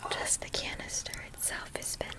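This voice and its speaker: A woman in a gentle, breathy whisper, unhurriedly playing an attentive candy shop keeper in an ASMR roleplay. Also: brisk.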